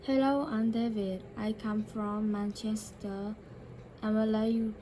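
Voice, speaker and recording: A woman practises English shadowing, repeating each line slowly and correcting herself as she goes. Her voice is mellow and flat, and faint background noise sits under it. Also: mid-range voice. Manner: slow, careful repetition with self-corrections. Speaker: English learner.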